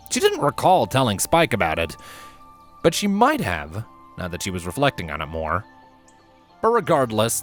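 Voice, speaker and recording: A man narrating a fantasy story from a script in an expressive, dramatic way. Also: mid-range voice. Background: music.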